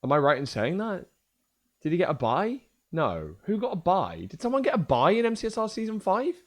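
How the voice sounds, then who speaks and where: A young man with a hoarse voice, chatting casually and joking on a livestream. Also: high voice, huge pitch swings, fairly quick. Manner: joking, trash-talking, swears a lot. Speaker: streamer.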